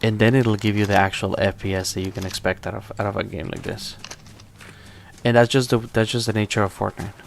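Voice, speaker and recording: A man with a slight accent giving relaxed, rambling commentary while streaming a game live, often restarting his sentences. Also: lowish voice, a little fast, vocal fry, a little hiss or hum. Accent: non-native English accent. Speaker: tech streamer.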